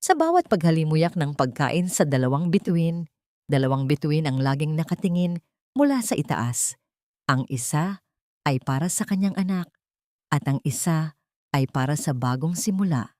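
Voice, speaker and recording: A woman narrating a dramatic story in an earnest, sentimental, measured way. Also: sits low, sing-song, darkish tone, no echo, clean studio sound.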